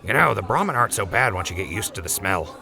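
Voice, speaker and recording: A laid-back young rancher chats in a fairly low but bright, forward voice, as a man plays him. He talks quickly and jokes easily, in a fan audio drama. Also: big pitch swings, vocal fry, soft background music, exaggerated voice, rough recording. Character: easygoing young male wasteland rancher.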